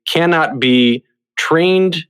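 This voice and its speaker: A man's voice: fairly high pitch, drawn-out words, a bit bright, clean tone. A man hosting a conversational podcast interview, talking in an effusive, wordy way.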